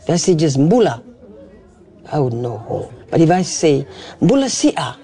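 A man's voice: a little high, sing-song, normal speed, mellow. A man from Fiji explaining things earnestly in an interview clip, often adding "see?".

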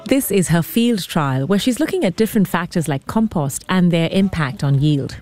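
A woman reporter reading scripted narration in a measured, even way. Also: fairly low pitch, noisy background.

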